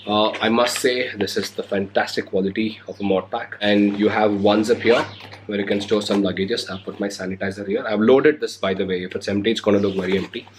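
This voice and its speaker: A man's voice: on the low side, steady tone, fairly quick, background noise. A young man with an Indian English accent, recovering from a cold, talking casually through an unboxing vlog with lots of hesitant fillers. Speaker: motovlogger. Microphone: handheld recorder or camera mic.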